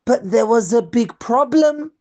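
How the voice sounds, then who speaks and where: A storyteller narrating a scripted religious tale for children in an expressive way, putting questions to the young listeners. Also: medium pitch, unhurried.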